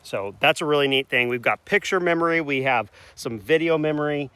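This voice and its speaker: A man's voice: on the high side, fairly quick, pushed and bright, smooth, no echo. A man, hosting a casual rural vlog and rambling in a folksy way with self-corrections. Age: 40s. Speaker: channel host. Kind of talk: casual outdoor vlog chat.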